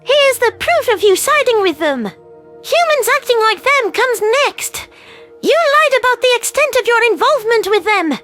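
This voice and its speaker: A feathered alien delegate, female and furious, hurls accusations in a piercing, very high voice that leaps around wildly, as a man puts her on. Her tone stays shrill and accusing throughout this audio drama. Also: big pitch swings, moderate pace, pushed and bright, faint music behind, exaggerated voice.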